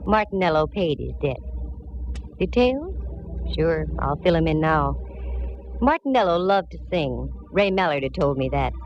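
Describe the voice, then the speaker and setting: An American actress playing a plucky, wry female private eye, narrating in clipped, dramatic 1940s radio style. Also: low-pitched. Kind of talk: 1940s radio detective drama acting, hard-boiled narration. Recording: dull vintage 1940s radio recording.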